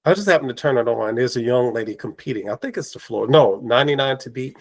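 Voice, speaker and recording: A man hosting a casual podcast chat, his voice raspy from allergies, telling lively stories and acting out quoted remarks. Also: mid-range voice, quick pace. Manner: animated storyteller, acts out quoted exchanges. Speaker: podcast host. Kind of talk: casual conversation.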